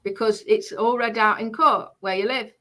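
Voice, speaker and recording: A woman's voice: fairly high pitch, fairly even intonation, moderate pace, bright, forceful tone. A woman in casual debate, speaking insistently and repeating her phrases.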